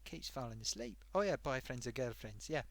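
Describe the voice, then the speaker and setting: A man's voice: mid-range voice, a little fast, dry recording, close to an everyday voice. A man voicing a chatty sock puppet host who rambles off topic and talks to viewers, his throat getting sore. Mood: rambling. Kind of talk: rambling comedic live-stream monologue.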